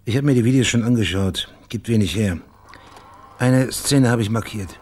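A man's voice: mid-range voice, moderate pace, a little mellow, natural voice. An actor playing a laconic, matter-of-fact male private detective in a radio drama, persistent in his questioning. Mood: sober, musing.

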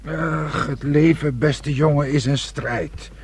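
An actor playing a long-winded, moralizing middle-aged father in a radio play, with a gravelly, husky voice. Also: a little high, fairly even intonation, slow, background noise. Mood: philosophizing. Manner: sententious, platitudinous.

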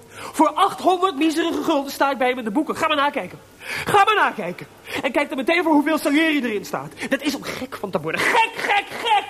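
A man acting in a radio play as an excitable, aggrieved composer short of money, stammering and exclaiming. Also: very high voice, exaggerated voice. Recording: noisy radio play recording.

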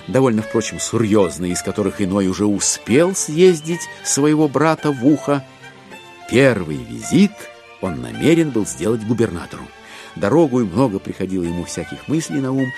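A man's voice: lowish voice, a little mellow. A man performing as a wry, ironic storyteller, reading literary narration in an audio drama.

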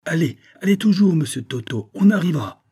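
A man voicing a plucky young woman who is out of breath, speaking high and urgent in a storybook reading. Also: animated, a little slow, warm tone, breathy edge. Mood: urgent, breathless. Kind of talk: audiobook character voice.

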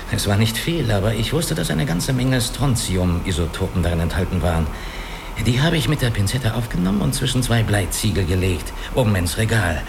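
An actor in a radio drama playing a guarded, analytical spaceship crewman who speaks deliberately and reasons step by step. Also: fairly low pitch, noisy background. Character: guarded, analytical male spaceship crewman hiding his identity. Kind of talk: German radio play dialogue.

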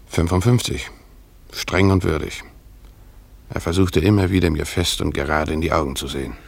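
An actor playing a brooding, lovesick former tutor, narrating a radio drama in a reflective, halting, self-questioning way. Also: male voice, a bass voice, steady tone, vocal fry, breathy edge, no echo, full character voice.

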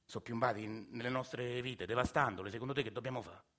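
A man, narrating and acting his own story in a radio theatre monologue, soft-spoken and hesitant. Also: medium pitch, quick pace. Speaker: narrator and lead. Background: music.

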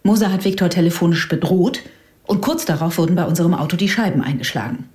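An actress plays a bitter widow in a radio drama. Her woman's voice sits fairly low, and she speaks haltingly, with breathy sighs between phrases, worn by bereavement. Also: a little mellow, lightly acted. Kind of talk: German radio drama acting.

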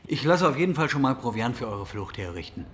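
An actor playing a practical, wary guide in a radio drama, cautious and matter-of-fact. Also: male voice, mid-range voice, very animated, quick pace, natural voice.

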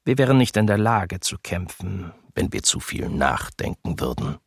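An actor playing a homesick German soldier in his early thirties, reading his diary in a radio docudrama with husky, measured delivery. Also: sits low, very animated, dry recording, studio quality, full character voice. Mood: brooding, grim. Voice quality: husky, gravelly.

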